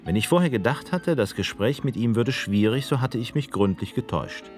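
Calm male documentary narrator. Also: mid-range voice, a little fast, faint music behind. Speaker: narrator and interviewer. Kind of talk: documentary narration and interview questions.

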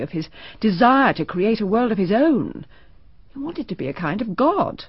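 A middle-aged mother confessing a hidden past in a radio play, hesitant and subdued. Her husky voice rises and falls widely, and the recording is dry and muffled. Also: on the low side, no echo. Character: guarded mother with a painful secret. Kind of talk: BBC radio drama acting.

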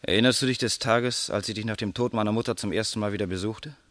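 An actor playing a brooding, proud young teacher and poet in a German radio drama, restrained, terse and grave. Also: male voice, on the low side, fairly even intonation, fast, fairly bright tone, no room sound, lightly acted. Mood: brooding, accusing.

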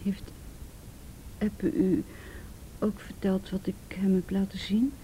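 A woman playing an ashamed, abused teenage girl in a radio drama, halting and repeating herself, often sinking to a breathy whisper. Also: medium pitch, level tone, dark tone, a little hiss or hum, natural voice, poor audio. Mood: ashamed, reluctant. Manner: halting, repeats words, tag questions. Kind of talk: naturalistic radio drama acting.

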